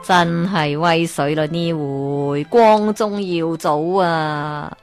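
A woman with a Hong Kong Cantonese accent tells a folk tale in a lively way. She often turns to the listener with rhetorical questions. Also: low-pitched, drawn-out words, over loud music. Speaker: storyteller.

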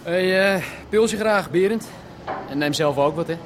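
An actor playing a pushy, irritable son who is short of money, blunt and impatient, in a naturalistic radio drama. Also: male voice, high-pitched, a little slow, background noise. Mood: restless.

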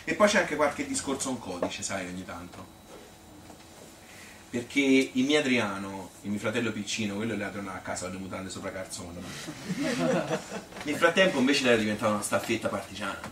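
A man playing a chatty old Tuscan grandmother who tells her life story in a colloquial, rambling, halting stage monologue. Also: fairly low pitch, animated, close to an everyday voice. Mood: rambling, offhand. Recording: roomy, noisy, distant stage recording.

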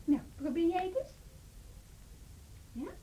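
An actress playing an obliging, hesitant music school teacher in a naturalistic radio drama. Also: female voice, very high voice, big pitch swings, slow pace, mellow, full character voice. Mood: instructive, friendly. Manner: hesitant, conversational.

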